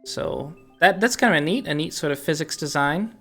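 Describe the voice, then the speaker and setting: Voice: mid-range voice, animated, moderate pace, a bit bright, soft background music. Casual podcast co-host. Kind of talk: casual video game discussion.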